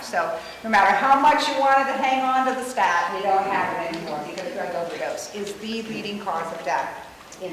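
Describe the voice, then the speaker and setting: A woman's voice: mid-range voice, a little hiss or hum. A middle-aged American woman, a physician, giving a conversational and emphatic conference talk, repeating her points. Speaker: physician speaker. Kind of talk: conference presentation. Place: hotel conference room. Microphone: no mic, room pickup. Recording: echoey hall from far off.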